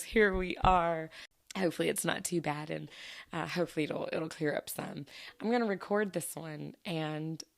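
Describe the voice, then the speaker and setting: Hoarse, giggly woman podcast host. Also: a bit bright. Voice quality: low scratchy voice. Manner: chatty, giggly, self-deprecating. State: hoarse from allergies. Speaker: teacher. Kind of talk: casual solo podcast chat.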